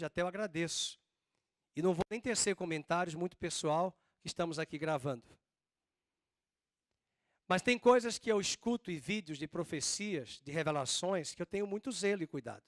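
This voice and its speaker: A man preaching a sermon as pastor, with a drawn-out Northeastern Brazilian accent and slow, emphatic stresses. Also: fairly high pitch, no room sound, studio quality. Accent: Northeastern Brazilian Portuguese.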